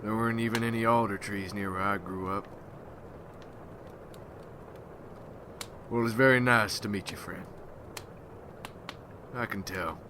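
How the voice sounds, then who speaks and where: Man acting as a grim vampire hunter, deep voice, folksy slang, ASMR roleplay, noticeable background ambience. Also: steady tone, noisy background, natural voice. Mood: friendly. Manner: folksy rustic slang, unhurried, addressing a newcomer. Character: gruff hardened male vampire hunter, grim, folksy mentor.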